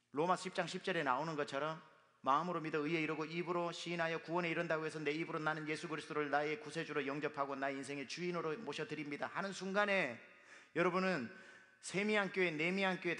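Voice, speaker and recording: A man preaching a sermon as pastor, his voice hoarse from dust, speaking emphatically. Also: fairly high pitch, pushed and bright. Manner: emphatic, with sung and quoted lines. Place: church sanctuary. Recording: long reverb of a hall.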